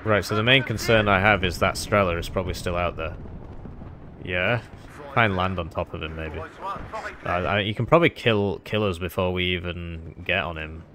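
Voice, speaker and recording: A man's voice: low-pitched, expressive, moderate pace, background noise. A man giving casual, rambling and self-deprecating commentary on his own strategy game as he plays.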